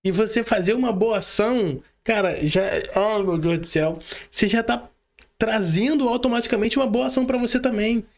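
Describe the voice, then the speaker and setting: A man's voice: sits high, a little fast. A man with a Brazilian Portuguese accent hosting a podcast interview in a casual, slangy way. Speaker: podcast host.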